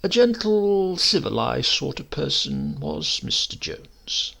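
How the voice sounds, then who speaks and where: A man with a husky voice reading a literary story in a measured, wry way. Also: a little high, huge pitch swings, fairly bright tone. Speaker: story narrator. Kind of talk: scripted literary narration.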